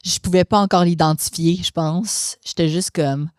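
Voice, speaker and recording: Low-voiced young Québécois woman chatting. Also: steady tone, moderate pace, darkish tone, no room sound, clean studio sound. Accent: Québécois French. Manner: chatty, mixes English expressions, laughs often. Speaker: podcast guest. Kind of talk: casual conversation.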